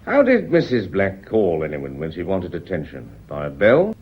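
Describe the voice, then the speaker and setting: Deep, husky British police inspector. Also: male voice, wildly swooping pitch, heavily acted. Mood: methodical, polite. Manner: deliberate, formal, politely insistent questioning. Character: probing, measured, courteous male Scotland Yard police inspector. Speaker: radio drama actor. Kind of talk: British radio mystery play acting. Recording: dull, muffled radio drama recording.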